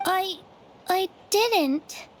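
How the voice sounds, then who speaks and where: A woman's voice: very high-pitched, expressive, very slow, slight background noise, full character voice. A woman voicing a frail, polite and timid little girl in a video game, speaking meekly and hesitantly. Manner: hesitant, meek, courteous.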